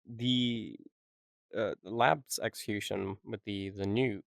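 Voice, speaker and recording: A man's voice: on the low side, steady tone, takes it slowly, creaky, no room sound, clean studio sound. A man co-hosting a podcast, chatting casually and thinking aloud as he goes. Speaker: podcast co-host. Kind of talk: casual chat.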